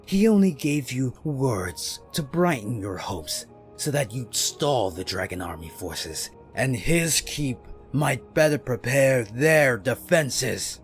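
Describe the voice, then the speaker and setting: A man's voice: mid-range voice, sing-song, takes it slowly, soft background music, pitched above the performer's own voice. A man voicing a proud, resentful male ninja master who growls his scorn. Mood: scornful.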